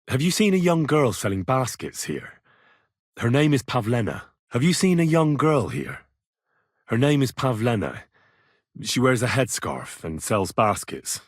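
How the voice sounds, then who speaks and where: A young male hero of a medieval video game, earnest and plain-spoken, ready to stand his ground. His voice has a husky edge and swings freely in pitch. The sound is close and dry. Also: dry recording. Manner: conversational, earnest, assertive when challenged. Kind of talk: game dialogue voice lines.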